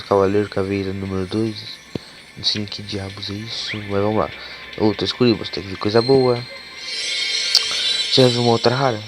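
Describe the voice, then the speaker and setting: A man with a cold and a hoarse voice, talking casually as he opens card packs for a gaming video, cursing and getting excited over the cards. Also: fairly low pitch. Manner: casual and swearing, excitable when cards are revealed. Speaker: gaming YouTuber. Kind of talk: casual gameplay commentary. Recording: game music playing behind the voice.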